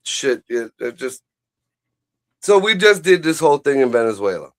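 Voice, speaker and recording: A man's voice: mid-range voice, big pitch swings, moderate pace, no room sound, clean studio sound. A man chatting casually about politics as a show host, blunt, profane and rambling.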